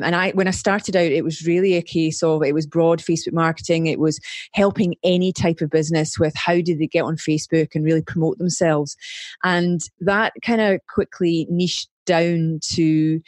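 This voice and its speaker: A woman's voice: low voice, fairly even intonation, normal speed, dry recording, studio quality. A Scottish woman, a marketing strategist, chatting as a guest on a podcast in an upbeat way and laughing easily. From Scotland. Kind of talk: casual interview chat.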